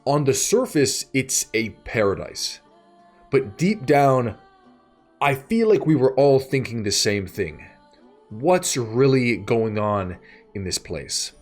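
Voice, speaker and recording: A man narrating a scripted video essay in a measured way, pausing between short phrases. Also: mid-range voice, lively intonation. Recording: music bed behind the voice. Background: background music.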